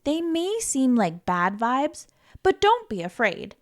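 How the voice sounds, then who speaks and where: A woman reading a children's picture book aloud in a gentle, playful, reassuring way, sometimes giggling. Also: sits high, very animated, slow. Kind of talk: read-aloud storytime.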